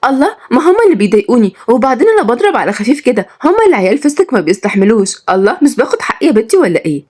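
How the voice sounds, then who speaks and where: A woman's voice: a little high, very fast, a little mellow, voice pushed up, natural voice. A woman voicing a cheeky, defiant schoolgirl with an Egyptian accent in an audio story. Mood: indignant. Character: cheeky, feisty schoolgirl, defiant younger sister.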